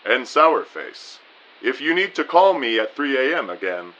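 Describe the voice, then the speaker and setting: Male actor as genial, pompous chief in radio play, booming and bright, unhurried, lively intonation, slightly lo-fi. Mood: genial, businesslike. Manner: pompous, genteel, formal phrasing. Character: booming, jovial, courteous male agency boss. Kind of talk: audio drama dialogue.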